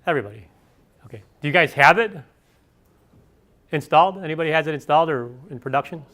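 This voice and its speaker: A man with a Chicago accent giving a fast, filler-heavy conference presentation and asking the audience questions. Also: fairly high pitch, fairly bright tone. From Chicago area. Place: conference session room.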